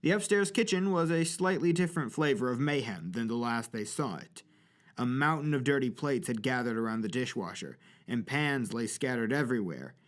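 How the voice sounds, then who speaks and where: A man's voice: mid-range voice, animated, moderate pace. A man narrating a scripted story for an audio drama.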